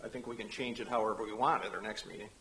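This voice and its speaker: A man chairing a county council meeting in a council chamber, running hearings briskly with dry asides, speaking into a desk microphone. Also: lowish voice, steady tone, a bit bright, slight background noise, rough recording.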